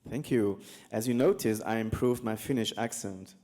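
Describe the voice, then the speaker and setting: A man's voice: on the low side, moderate pace, warm tone. Joking conference moderator, a man. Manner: loose, joking, filler-heavy. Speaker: panel moderator. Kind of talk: casual moderating. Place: conference stage.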